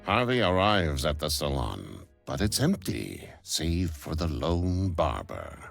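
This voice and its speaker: A man playing a pompous stage narrator in a game, delivering grand, portentous, gravelly melodrama. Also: extremely low pitch, very animated, slow, fairly bright tone, faint music behind, heavily acted. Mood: ominous. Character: theatrical male stage narrator, ominous and grand.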